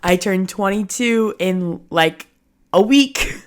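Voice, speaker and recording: A woman's voice: mid-range voice, slow pace. A woman in her early twenties hosting a solo podcast, talking candidly and casually with frequent laughs and lots of "like" fillers. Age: early 20s. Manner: chatty, candid, laughs often, many "like" fillers. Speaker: podcast host.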